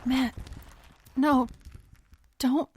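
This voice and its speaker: Woman playing a poised, slow-spoken wounded heiress. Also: high voice, steady tone, breathy edge, no echo, exaggerated voice. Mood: dazed. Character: poised, steely injured society heiress in her city's war. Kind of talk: audio drama acting.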